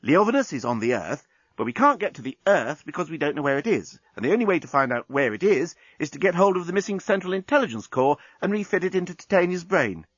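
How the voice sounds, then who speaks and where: A man voicing a brisk, clever woman who explains things in a matter-of-fact way. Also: fairly high pitch, very animated, no echo, highs cut off, voice pushed up. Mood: decisive.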